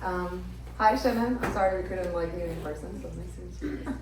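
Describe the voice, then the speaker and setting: A woman's voice: on the low side, brisk, noisy background. Woman moderator, casual, lots of like, echoey room, distant mic. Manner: casual, many "like" fillers. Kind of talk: panel moderating. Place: crowded hall.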